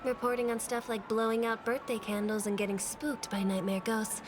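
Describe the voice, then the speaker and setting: A woman's voice: fairly high pitch, level tone, moderate pace, background noise, close to an everyday voice. A woman voicing a frank, level-headed media worker in a video game, matter-of-fact and conversational, over music. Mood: wry, candid.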